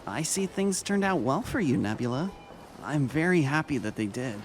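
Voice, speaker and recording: Guy acting as a kind polite school vice-principal, lighter pitched voice, pretty expressive, audio drama. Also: moderate pace, a little hiss or hum, natural voice. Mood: delighted. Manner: courteous, formal, caring phrasing. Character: gentle, polite, warm male former school vice-principal.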